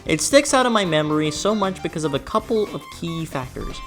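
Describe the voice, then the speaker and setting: A person delivering a scripted, opinionated video essay in an expressive, emphatic way with conversational asides. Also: mid-range voice, a little fast. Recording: music behind the voice. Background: background music.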